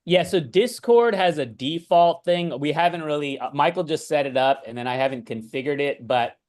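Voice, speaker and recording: A man's voice: on the high side, normal speed, a little mellow. A man hosting an online chat who explains his beliefs earnestly and keeps checking in with "right?" and "okay?". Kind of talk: casual chat and preaching. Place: online video meeting.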